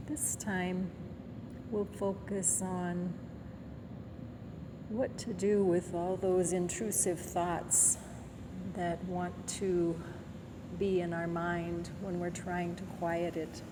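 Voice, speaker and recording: A woman leading a guided body scan meditation in a gentle, soothing manner, leaving long pauses between phrases. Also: on the low side, little pitch movement, background noise.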